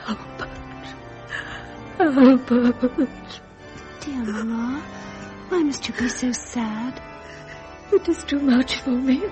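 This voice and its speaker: A woman's voice: high-pitched, takes it slowly, pauses often, mellow, faint music behind. An actress playing a tender, emotional lady of royal circles in a period radio drama, with a British accent and a plaintive manner. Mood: tearful, tender.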